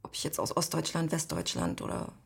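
A woman in her thirties from East Germany with a somewhat low voice. She talks thoughtfully as an interview guest, pausing often to find her words. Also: gravelly, husky. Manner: thoughtful, searching for words with frequent pauses. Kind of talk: reflective personal interview.

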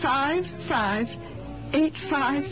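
An actress playing a lonely, fragile old mother in a radio drama, halting and flustered, her voice quavering and tearful. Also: high-pitched. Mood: anxious, flustered. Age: older. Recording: muffled old radio broadcast, music behind.

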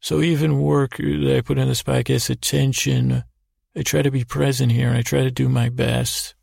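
A man hosting a sleep podcast with a meandering, halting bedtime monologue, repeating words and trailing off in a creaky, gravelly voice. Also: mid-range voice, flat delivery, a little mellow, no echo, clean studio sound. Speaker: podcast host. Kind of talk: rambling bedtime monologue to help listeners sleep.

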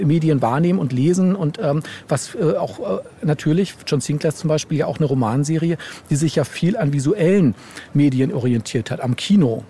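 A man answering as a guest author in a podcast interview, speaking conversationally and haltingly, often tagging phrases with a short questioning word. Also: a little high, dark tone, a little hiss or hum. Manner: halting, ends phrases with tag "ne?".

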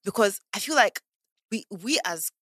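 A woman's voice: medium pitch, normal speed, no echo, studio quality. A woman, chatting as a podcast guest and acting out the voices of people she quotes. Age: 20s. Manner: animated, acts out quoted voices. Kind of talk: casual conversation.

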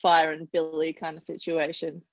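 A woman's voice: low voice, completely flat delivery, moderate pace, creaky, no room sound. Laughing Australian woman, dry lo-fi sound. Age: 30s. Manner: chatty, laughs easily, many ums. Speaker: podcast guest. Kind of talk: casual interview chat.

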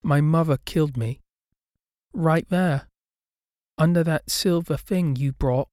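A man's voice: fairly high pitch, takes it slowly, a little mellow, dry recording, clean studio sound, pitched above the performer's own voice. A man voicing the scornful, demanding ghost of a little girl in a scripted horror-comedy story. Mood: grim. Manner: spitting, demanding.

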